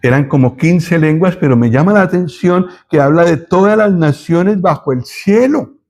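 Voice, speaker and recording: A man from Colombia, giving a thoughtful Bible teaching with pauses and self-corrections. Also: fairly high pitch, a little mellow.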